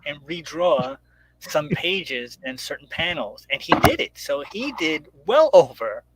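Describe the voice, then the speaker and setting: A man's voice: on the high side, very animated, unhurried, breathy edge, rough recording. A man chatting casually as a guest about his own creative project, rambling informally and acting out little quotes as he goes. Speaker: guest, comic book creator promoting crowdfunding. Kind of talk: casual chat.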